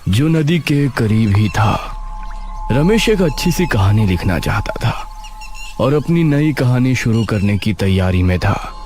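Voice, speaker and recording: A man's voice: fairly low pitch, lively intonation, measured pace. A man narrating a scripted horror story in a dramatic storytelling style. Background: music.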